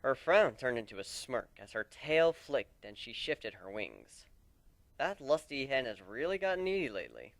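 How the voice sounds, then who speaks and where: A man reads a fantasy story aloud as its narrator, with a very animated pitch. His delivery is conversational and dramatic, recorded close and dry. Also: moderate pace, no room sound. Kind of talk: dramatic first-person story reading.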